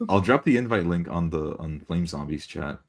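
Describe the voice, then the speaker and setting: A man's voice: sits low, animated, normal speed, creaky. A man chatting casually about tabletop games, as a game master among friends. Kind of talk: casual chat.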